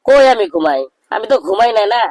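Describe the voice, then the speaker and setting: A man voicing a cheeky, boastful young village know-it-all in a comedy cartoon, with a rural Bangladeshi accent and a pitched-up voice. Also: very animated, fast, darkish tone, dry recording. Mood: boastful, teasing. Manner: bragging, teasing, declamatory.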